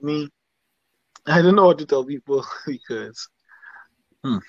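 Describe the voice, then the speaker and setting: A man's voice: fairly high pitch, lively intonation, unhurried, dry recording, lo-fi sound. A man from Dominica with a Dominican accent, a singer talking casually in an interview and often checking "you understand?". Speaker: singer guest.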